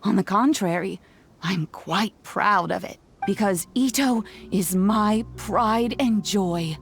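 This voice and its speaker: Sweet old granny for a game, slow and fond, soft music behind. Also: female voice, mid-range voice. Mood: warm, reassuring. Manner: unhurried, fond, reassuring. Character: kindly elderly granny, fond and proud. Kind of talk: English video game voice acting.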